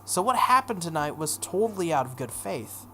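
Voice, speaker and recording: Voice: mid-range voice, sing-song, normal speed, bright, forceful tone, a little hiss or hum. A video game playthrough host reading a story aloud dramatically, with casual offhand asides. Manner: acts out dialogue, breaks off for offhand comments.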